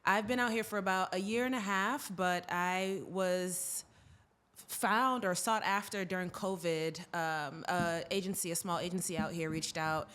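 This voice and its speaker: A woman's voice: fairly low pitch, fairly even intonation, measured pace, fairly bright tone. A woman from Boston speaking as a podcast guest, telling her story in a casual, informal, conversational way. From Boston, United States. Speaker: podcast guest, advertising professional.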